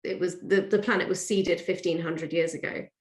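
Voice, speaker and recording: A woman speaks as a guest in a casual interview, explaining things conversationally. Her voice is on the low side, and the room around her is clearly echoey. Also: steady tone, moderate pace. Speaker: podcast guest, author. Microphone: own microphone on remote call. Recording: roomy, echoey sound.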